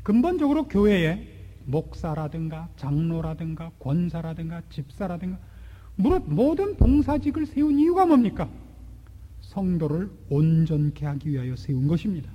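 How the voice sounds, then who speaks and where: A man in his forties preaching a sermon, asking rhetorical questions and swinging between loud and hushed delivery. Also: a little high, very animated, moderate pace. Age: 40s. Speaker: pastor. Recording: long hall reverb.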